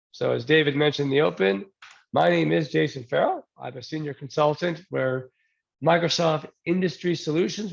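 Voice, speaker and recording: A man getting over a cold, giving a relaxed technical presentation to a user group over a video call, with plenty of ums and restarts and the odd joke. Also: on the high side, gravelly, dull audio. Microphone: new desk mic, then a headset.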